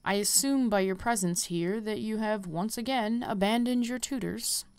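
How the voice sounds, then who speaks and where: A woman reads the part of a stern, solemn mentor of a fantasy game guild. The speech is formal, measured and admonishing, at a medium pitch in a very dry recording. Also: clear, resonant tone, dry recording, natural voice. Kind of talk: reading game quest dialogue.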